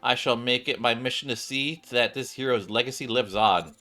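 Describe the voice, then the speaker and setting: A man's voice: mid-range voice, normal speed, pushed and bright, natural voice. A man voicing a pompous game heroine who makes grand, formal, righteous declarations. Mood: grand, self-satisfied. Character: pompous, theatrical female hero with grand righteous airs.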